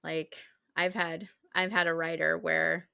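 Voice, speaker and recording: Casual female podcast host, slightly low voice. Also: level tone, a little slow, vocal fry, pushed and bright, clean tone. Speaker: podcast host, screenwriter giving script feedback. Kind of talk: casual interview chat.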